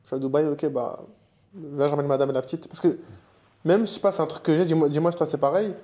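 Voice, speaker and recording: A man's voice: a little high, fairly even intonation, quick pace, mellow. A young man raised in Canada and Switzerland, a guest in a casual interview, talking in a slangy conversational way. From Morocco, raised in Canada and Switzerland.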